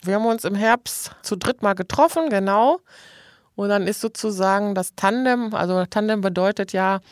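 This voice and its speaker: A woman's voice: mid-range voice, moderate pace, no echo, clean studio sound. A woman in her forties hosting and moderating an interview, friendly and encouraging, affirming her guests with short interjections. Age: 40s. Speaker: host, project leader.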